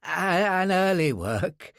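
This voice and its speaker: A male narrator puts on a genteel academic man, easily flustered and full of old-fashioned courtesy. His pitch is on the high side and swoops widely. It is a dry storybook reading. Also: very animated, slow, vocal fry, a bit bright, no room sound, heavily acted. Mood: flustered, moved. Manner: effusive, mournful, old-fashioned politeness.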